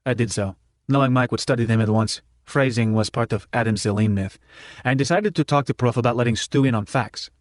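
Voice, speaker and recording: A man's voice: mid-range voice, fairly quick, dry recording. Male narrator for first-person fiction, clipped telegraphic delivery, dry studio sound. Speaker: audiobook narrator.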